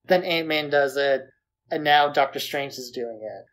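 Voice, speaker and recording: A man's voice: mid-range voice, moderate pace, a bit bright. Male co-host in casual conversation. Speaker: podcast co-host. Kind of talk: casual film discussion.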